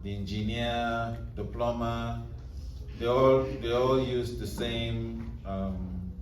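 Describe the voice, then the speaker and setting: A man's voice: medium pitch, steady tone, takes it slowly, noisy background. Man, author at a book talk, meandering speech full of fillers, roomy hall, distant mic. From Nigeria. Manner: rambling, many fillers and restarts. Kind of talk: casual talk to an audience.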